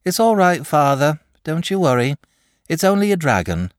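A man voicing a calm, bookish, self-assured young boy in a storybook, explaining things in a patient, matter-of-fact know-it-all way. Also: on the high side, normal speed, no echo, clean studio sound, voice pushed up. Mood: sleepy, reassuring. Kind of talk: storybook character voice.

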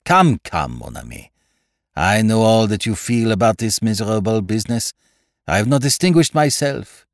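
A man's voice: lowish voice, sing-song, normal speed, dry recording, clean studio sound. Guy doing a cheerful fussy french detective for an audiobook, courtly n pleased with himself, super swoopy intonation. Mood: brisk, gaily. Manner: courtly, flourishing, emphatic phrasing. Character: small fussy French-speaking detective, cheerful, placid, self-assured.

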